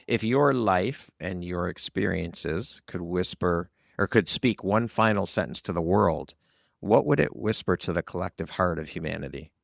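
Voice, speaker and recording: A man's voice: sits low, normal speed, gravelly, no echo, clean studio sound. A man hosting an interview podcast in a conversational style. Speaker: podcast host.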